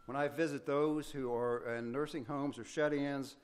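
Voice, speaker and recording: An older man stands in for the regular minister and preaches a Sunday sermon in a church sanctuary. His voice is soft, and his delivery is earnest and measured, with occasional restarts. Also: medium pitch, fairly even intonation, clean tone. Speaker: guest preacher.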